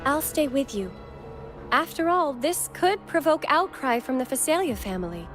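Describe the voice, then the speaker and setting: A woman's voice: high-pitched, expressive, normal speed, music in the background. An actress playing an earnest, devout young woman in a video game, speaking formally. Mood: resolute.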